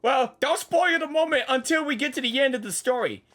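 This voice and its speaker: A man giving casual gaming reactions in an excitable, repetitive, rambling manner. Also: very high voice, brisk. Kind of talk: casual live game reaction commentary.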